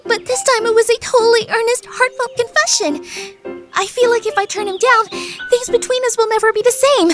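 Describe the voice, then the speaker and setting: A woman voicing an earnest, kindhearted high school senior girl in an anime fan radio play, talking in a casual, girlish way. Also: fairly even intonation, a little fast, over music. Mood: torn.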